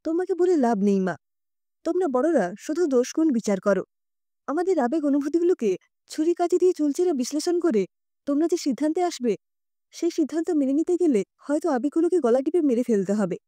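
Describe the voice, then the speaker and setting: A woman's voice: sits high, lively intonation, fairly quick, mellow, dry recording. A woman voicing a troubled, stubborn young college woman, earnest and guarded, in an audio story. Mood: guarded, pleading.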